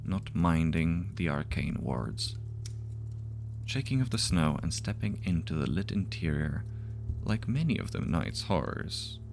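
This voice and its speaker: A man reading a scripted fantasy-horror story in a measured, literary way, with music behind him. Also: deep voice, creaky. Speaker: author reading their own story. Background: music bed.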